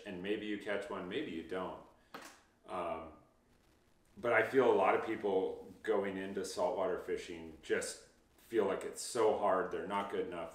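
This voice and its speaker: A man in his thirties, a fishing guide, giving easygoing, reassuring interview answers with frequent ums, seated in a home office. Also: low voice. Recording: roomy, distant mic.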